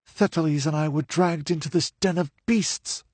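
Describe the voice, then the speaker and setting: A desperate young noblewoman, near tears, pleading in a high, strained voice that climbs well above a man's own register, as a male narrator puts her on. The voice is a little louder than the narration and a bit husky, recorded dry and close. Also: normal speed, dry recording. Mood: grieving.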